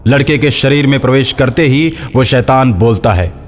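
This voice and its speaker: A man's voice: mid-range voice, moderate pace. A man narrating a scripted horror folk story as a storyteller. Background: faint music.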